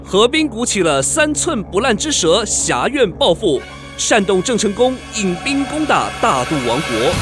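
A man narrating a radio drama in a dramatic scripted storytelling style. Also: high-pitched.

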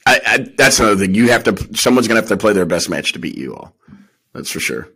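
A man's voice: on the low side, animated, fast talker, a bit bright, breathy edge. A man co-hosting a podcast, casually analysing sports in slangy speech, asking questions and thinking aloud.

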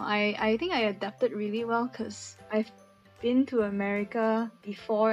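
Young malaysian woman rambling candidly, lots of likes and restarts, faint music under her. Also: medium pitch. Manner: chatty, candid, many fillers and restarts. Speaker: interviewee. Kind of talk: recorded answer to interview questions.

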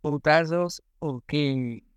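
Casting: young Monterrey man, podcast co-host, slightly high voice, short joking asides, dry close mic. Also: very slow, clear, resonant tone, no echo. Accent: northern Mexican (Monterrey). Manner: short interjections, joking slang. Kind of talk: casual chat.

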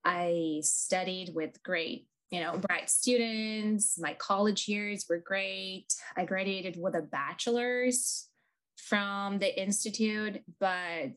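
A woman's voice: mid-range voice, slow pace, fairly bright tone. A woman from Ukraine with a Ukrainian accent, a lawyer telling her story in a conversational interview, thoughtful with frequent ums.

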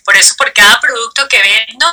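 Venezuelan businesswoman guest, soft and hesitant, very bright thin tone over a tinny call line. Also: on the high side, brisk. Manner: soft-spoken, hesitant, searching for words. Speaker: guest entrepreneur. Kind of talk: interview answers.

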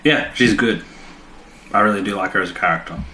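A man with a slightly low voice chats as a podcast co-host, informal and wry. He sounds like he is in an echoey room with faint noise behind him. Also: brisk, pushed and bright. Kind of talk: casual chat, commenting on TV episodes.

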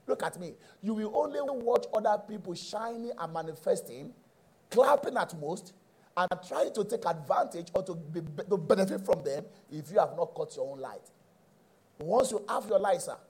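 Male Nigerian preacher, middle-aged, emphatic teaching delivery, husky and very animated in pitch. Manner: emphatic, repeats key phrases, checks in with listeners. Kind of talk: teaching sermon.

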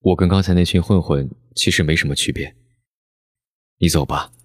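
A man as a low, husky, brooding teenage rebel. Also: normal speed, dry recording, studio quality. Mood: resigned. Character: brooding, rebellious high-school boy, protective of his friend. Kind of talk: audio drama acting.

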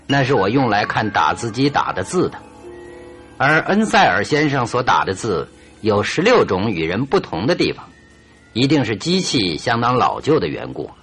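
An actor playing a shrewd, confident detective in a radio drama, speaking deliberately and with command. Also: male voice, medium pitch, sing-song, a bit bright. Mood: probing, assured. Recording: radio drama with music underneath.

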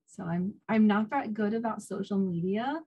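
A woman's voice: mid-range voice, moderate pace, darkish tone, husky, dull audio. Casual, informal woman guest on a podcast. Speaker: guest, self-published author. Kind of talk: casual interview chat.

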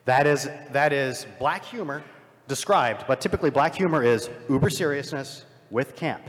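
Male panelist, mid-pitched, analytical and halting, heavy hall reverb on a live discussion. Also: animated. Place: large convention panel room with microphones.

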